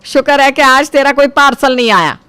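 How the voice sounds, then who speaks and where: A woman's voice: high-pitched, fairly quick, bright, forceful tone, no room sound. Woman acting nagging cartoon mother-in-law, dry close sound. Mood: irritated. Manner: nagging, sarcastic. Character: scolding, grumbling mother-in-law, sharp-tongued older woman. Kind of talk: Hindi cartoon family drama voice acting.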